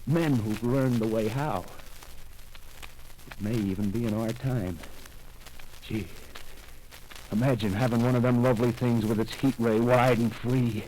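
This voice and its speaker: A man plays a coarse, power-hungry soldier in an old-time radio drama. He talks in blunt streetwise slang and keeps pushing his plan at a middle pitch, with a faint hiss behind the voice. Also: fairly even intonation, unhurried, pauses often, darkish tone, natural voice, poor audio. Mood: scheming. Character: rough, power-hungry male soldier scheming survival. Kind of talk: 1930s radio drama acting.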